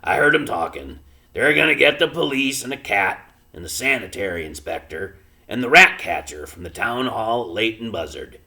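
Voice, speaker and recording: Man doing a gruff little countryman father. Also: fairly low pitch, moderate pace, voice pushed up, heavily acted. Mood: gruff, firm. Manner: flat, expressionless, rustic grammar. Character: gruff tiny working-class father, plain and stubborn.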